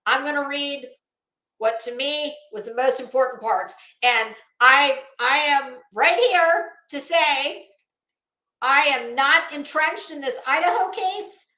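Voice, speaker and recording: A woman with a high voice delivers scornful, opinionated livestream commentary. She takes her time, leaning on words and repeating them for emphasis. The sound is roomy, with a noticeable echo. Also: sharp tone. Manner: blunt, scornful, emphatic, with deliberate repetition. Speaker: livestream host.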